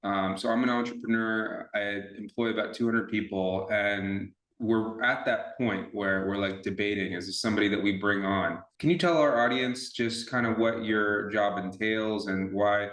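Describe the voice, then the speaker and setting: A man hosts a podcast interview in a fairly low voice, asking long, rambling questions with restarts. His voice echoes in the room. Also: fairly even intonation, moderate pace, hardly any pauses, a bit bright. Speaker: podcast host. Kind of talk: casual interview.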